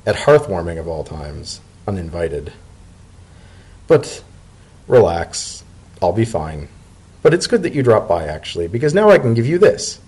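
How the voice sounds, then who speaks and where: A man voicing a fussy, wry male pony civil servant in a story reading, with a dry, brisk and protective manner. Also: low voice, big pitch swings, a little mellow, slightly rough, full character voice. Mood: weary, wry. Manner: dry, brisk, fussily caring.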